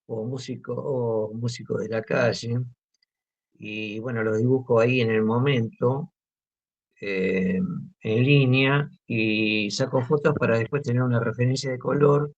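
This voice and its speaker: An Argentine man speaks in a gravelly, creaky voice, pausing often and restarting his sentences. The sound is muffled and lo-fi, as if from a modest interview recording. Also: medium pitch, fairly even intonation. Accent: Argentine Spanish. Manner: hesitant, many fillers and restarts. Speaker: guest artist. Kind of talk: relaxed interview talk. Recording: muffled lo-fi audio.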